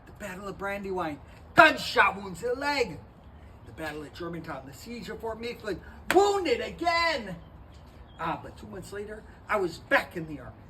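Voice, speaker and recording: A man's voice: big pitch swings, normal speed, exaggerated voice. A man doing a boastful giant soldier. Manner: theatrical, playful storytelling, swinging from booming to hushed. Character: towering young Portuguese-born soldier, boastful, cheerful. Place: historic park. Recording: distant mic with outdoor ambient noise.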